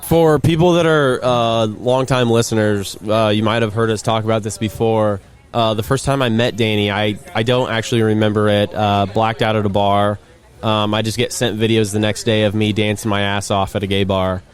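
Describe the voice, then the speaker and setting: A man's voice: on the low side, steady tone, moderate pace. A man in his twenties hosting a podcast outdoors, talking casually with many fillers and easy laughs. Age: 20s. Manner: hesitant, filler-heavy, laughs easily. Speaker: podcast host. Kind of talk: casual chat. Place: outdoors by a racetrack.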